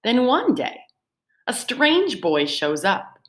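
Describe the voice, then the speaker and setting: A woman teacher reading book summaries aloud to her students in an expressive storytelling manner. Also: slow pace. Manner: lively, expressive read-aloud with swooping pitch.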